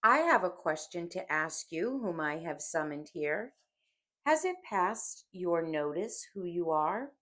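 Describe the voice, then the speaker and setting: A woman reader voices a crucified man, solemn and defiant, in a dramatic audiobook. He speaks slowly and emphatically, with steady, commanding authority. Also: fairly low pitch, exaggerated voice. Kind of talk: dramatic audiobook character reading.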